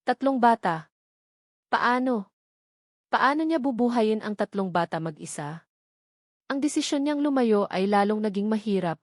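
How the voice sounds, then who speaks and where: A woman's voice: mid-range voice, no echo, studio quality. A woman with a Filipino accent reading a scripted, dramatic romance story as narrator.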